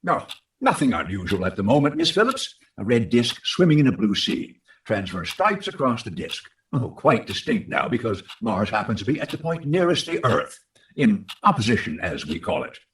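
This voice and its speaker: A man's voice: fairly low pitch, big pitch swings, normal speed. A man playing an unruffled, skeptical astronomy professor, speaking in a donnish way in an old-time radio drama. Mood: absorbed, calm. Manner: donnish, dismissive, considered phrasing.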